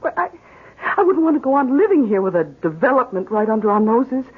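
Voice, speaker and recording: A worried wife in a 1970s radio drama. Her voice is husky and animated, swinging with hesitant anxiety, and the old broadcast sounds muffled and narrow. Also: on the high side, fairly quick. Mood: dismayed. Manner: hesitant, anxious, expressive. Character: worried, uneasy homeowning wife.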